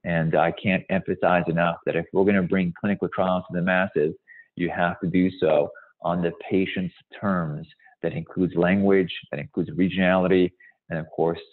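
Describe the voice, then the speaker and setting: A man in his forties, from Hungary and raised partly in the US, explaining things in a measured, emphatic way as a guest presenter on a webinar. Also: deep voice, fairly even intonation. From born in Hungary, moved to the US as a child. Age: 40s. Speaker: company founder, guest presenter. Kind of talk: webinar presentation and question answering. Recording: thin, phone-like call audio.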